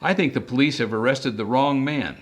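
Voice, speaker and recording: A man's voice: mid-range voice, fairly quick, lightly acted. A man voicing a priggish, anxious male English professor in a detective audiobook, speaking formally and wordily. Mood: earnest, worried. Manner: formal, wordy, fussy.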